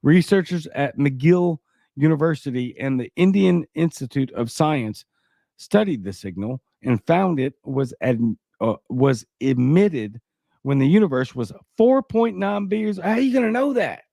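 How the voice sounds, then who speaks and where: A man's voice: a little high, big pitch swings, unhurried, vocal fry, mellow, dry recording, clean studio sound. A man with a Cajun accent hosting a casual livestream, reading news and viewer comments with skeptical, rambling asides. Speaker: livestream host.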